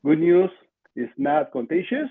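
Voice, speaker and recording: A man's voice: fairly high pitch, sing-song, measured pace, a crowd in the background. An older man from Peru with a Peruvian Spanish accent, talking conversationally as an interview guest with emphatic repetition, over a video call. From Lima, Peru. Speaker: podcast guest, marketing entrepreneur. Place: small room at home, indoors. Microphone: video call, computer mic at desk distance. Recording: muffled, lo-fi video call audio.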